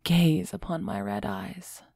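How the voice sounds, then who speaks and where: Woman acting slow, creaky-voiced aloof female vampire. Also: lowish voice, steady tone, warm tone, no echo, clean studio sound, heavily acted. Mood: startled, flustered. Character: aloof female vampire, commanding, teasing, warming to human captive. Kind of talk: intimate ASMR roleplay audio drama.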